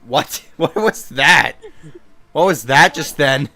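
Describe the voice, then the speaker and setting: A man's voice: on the high side, lively intonation, slow, bright, forceful tone. A man hosting a casual fan dub, laughing often and reading game tutorial text. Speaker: host and narrator of a fan dub.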